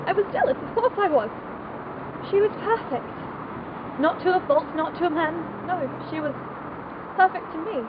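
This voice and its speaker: A woman's voice: sits high, lightly acted. A young woman playing an intense, repressed aristocratic spinster haunted by her youth, in a theatrical confessional monologue. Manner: theatrical, confessional, deliberate phrasing. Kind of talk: dramatic monologue. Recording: tinny band-limited noisy amateur film sound.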